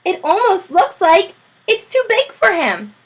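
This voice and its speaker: A woman's voice: very high voice, big pitch swings, measured pace, voice pushed up, exaggerated voice. A woman doing an eager little girl. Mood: amused.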